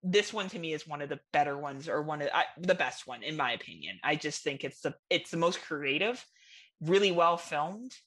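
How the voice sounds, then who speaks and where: A woman's voice: low voice, animated, moderate pace, fairly bright tone. A woman hosting a casual podcast, chatting in a frank, informal way. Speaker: podcast host.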